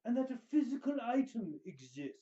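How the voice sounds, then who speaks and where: A man voicing a pompous, theatrical wizard host who gives grandiose dramatic readings with heavy pauses. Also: very high voice, very animated, dark tone, dull audio. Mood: dramatic, deliberate.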